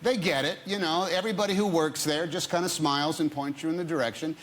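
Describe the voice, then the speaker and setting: A man's voice: on the high side, steady tone, quick pace, low-quality recording. An older man from the United States, a talk show guest telling playful, laughing anecdotes in a TV studio. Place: TV studio with audience. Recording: loud studio audience and noise.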